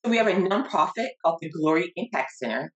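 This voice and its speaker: Hoarse, earnest woman coach. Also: fairly low pitch, expressive, lo-fi sound, a little hiss or hum. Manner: earnest, halting with fillers. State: losing her voice. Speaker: guest, life coach. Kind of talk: interview conversation.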